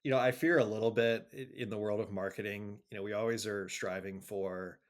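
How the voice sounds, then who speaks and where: Low-pitched man giving considered interview answers. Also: fairly even intonation, fairly quick. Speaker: interview guest, marketing agency executive.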